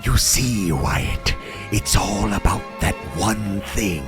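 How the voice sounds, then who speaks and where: A man playing a sinister, greedy banker villain in a western radio drama parody, smug and deliberate as he menaces. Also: fairly low pitch, expressive, over music.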